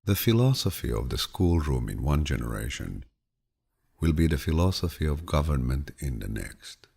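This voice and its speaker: Male audiobook narrator, extremely low and husky, soothing grandfatherly delivery, measured but with expressive swings in pitch. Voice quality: deep, low, gravelly, husky voice. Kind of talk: calm, measured audiobook reading of quotations.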